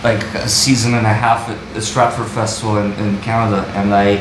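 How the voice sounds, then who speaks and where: A man's voice: on the low side, level tone, a little fast, noisy background. Man musing in an echoey room. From Bulgaria. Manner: thoughtful, searching for words, frequent restarts. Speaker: actor and translator on a panel. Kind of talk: panel discussion answer. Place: wood-panelled university room, audience present.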